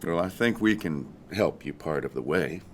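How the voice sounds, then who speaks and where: Low-voiced, folksy, teasing husband. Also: male voice, animated, normal speed, slight background noise. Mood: friendly, probing. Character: affable married man offering a hitchhiker a lift, quietly probing. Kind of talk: naturalistic audio drama acting.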